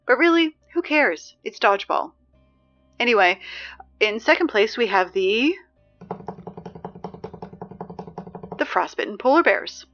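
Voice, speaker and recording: Woman as sarcastic high school girl on the announcements, kinda high voice, fed up and snarky, audio drama, muffled lo-fi. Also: very animated, moderate pace, fairly bright tone, soft background music. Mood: snarky, exasperated. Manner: snarky, conversational, dry.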